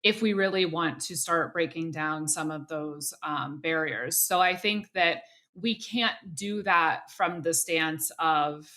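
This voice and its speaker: A woman's voice: deep voice, slow pace, few pauses, pushed and bright. A woman hosting an interview podcast, speaking thoughtfully with frequent "you know"s and restarts. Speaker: podcast host.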